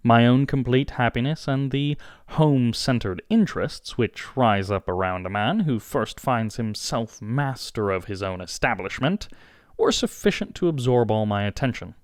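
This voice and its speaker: Male audiobook narrator for classic fiction: mid-range voice, very varied intonation, measured and dramatic phrasing. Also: medium pitch, expressive, no room sound, clean studio sound. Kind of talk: scripted literary narration.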